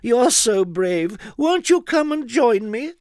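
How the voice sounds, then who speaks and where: A man reading a storybook aloud, voicing a lonely, tearful little woman who walks a tightrope, in a high, sobbing voice. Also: big pitch swings, takes it slowly, darkish tone, no echo, studio quality, full character voice. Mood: tearful pleading.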